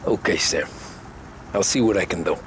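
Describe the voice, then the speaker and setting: An actor playing a laconic, businesslike American mob enforcer of the 1930s in a video game, terse and understated. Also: male voice, deep voice, normal speed, background noise, close to an everyday voice. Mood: guarded. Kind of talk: cinematic video game dialogue.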